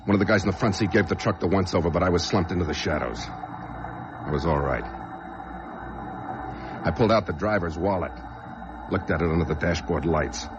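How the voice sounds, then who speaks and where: An actor playing a desperate, brooding fugitive killer in an old-time radio crime drama, given to overwrought outbursts. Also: male voice, sits low, brisk, long pauses, music in the background, natural voice. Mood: brooding, tense. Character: desperate fugitive man who killed a truck driver. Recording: dull, noisy old radio recording.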